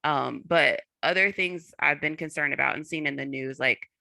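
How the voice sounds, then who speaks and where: Young adult panel guest, earnest and candid, gravelly texture, dry close sound, lots of "like" and "um". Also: mid-range voice, normal speed, bright, forceful tone, dry recording. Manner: frequent "like" and "um" fillers, earnest.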